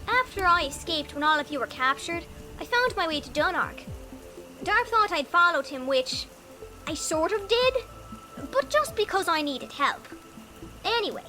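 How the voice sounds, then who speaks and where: A tiny perky fairy, chattering in a very high, sharp voice. It is a fantasy story performance with faint music behind. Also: male voice, full character voice. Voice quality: chittering, piercing.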